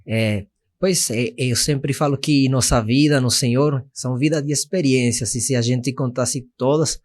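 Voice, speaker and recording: A young man from Venezuela talks in Portuguese with a clear Venezuelan Spanish accent, earnest and steady. His voice sits at a medium pitch, and he sometimes hesitates while he reaches for a word in his second language. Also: measured pace. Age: 20s. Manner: earnest, searching for Portuguese words. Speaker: missionary guest. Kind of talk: podcast testimony.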